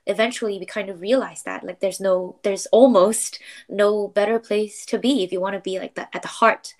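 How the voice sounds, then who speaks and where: A woman's voice: medium pitch, steady tone, moderate pace. A young adult woman from Malaysia, a paralegal talking as a podcast guest in a chatty way, laughing often and filling with "like". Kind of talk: casual interview chat.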